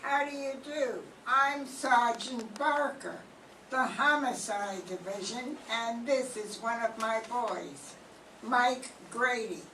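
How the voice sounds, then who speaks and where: A methodical homicide sergeant from a vintage radio mystery, played by an older woman. The voice is high and slow, putting businesslike questions with care. It sounds distant in a roomy space. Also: pushed and bright. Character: police homicide sergeant, calm, methodical, probing. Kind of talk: 1940s radio mystery acting, read from script. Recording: roomy, distant mic, faint noise.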